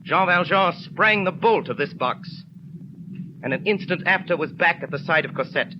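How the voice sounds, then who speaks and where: A man narrates a 1930s radio drama in a somewhat high voice, with suspenseful, deliberate phrasing. The recording is dull and noisy. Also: expressive.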